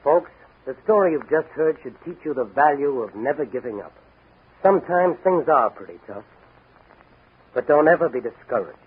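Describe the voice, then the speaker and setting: A man's voice: a little high, animated, moderate pace, husky. A man playing a confident, wisecracking young policeman hero in a 1940s radio drama. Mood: earnest. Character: bold, wisecracking young policeman and masked crime-fighting hero. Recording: tinny, hissy 1940s radio recording.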